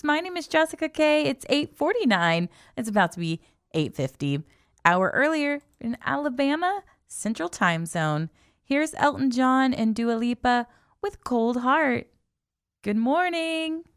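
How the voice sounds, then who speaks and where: Chatty, clear high-voiced woman radio host. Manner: chatty, giggly. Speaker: radio host, music station DJ. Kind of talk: live morning radio presenting with casual chat. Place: local radio studio.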